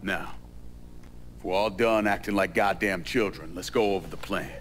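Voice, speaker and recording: A man's voice: low-pitched, moderate pace, a bit bright, a little hiss or hum. A man playing a blunt, commanding, vengeful young war veteran turned gang leader in a video game, with an American accent. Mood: firm, calculating. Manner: blunt, authoritative, profane. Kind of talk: video game cutscene acting. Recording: game dialogue over clear background music.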